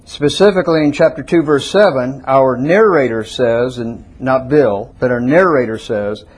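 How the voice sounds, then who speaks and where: A man's voice: mid-range voice, moderate pace, slightly rough. A man preaching a teaching sermon in a church, asking the congregation questions and having them repeat words. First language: not Hebrew. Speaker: pastor.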